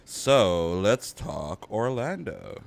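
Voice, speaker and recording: A man co-hosting a casual live podcast, tired and with a raspy voice, talking in a laid-back way. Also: fairly low pitch, very animated, very slow. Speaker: podcast co-host.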